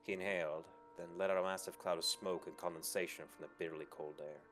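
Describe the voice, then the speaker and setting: A man's voice: deep voice, steady tone, fast talker, fairly bright tone. A man reading first-person narration from a visual novel as a storyteller. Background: background music.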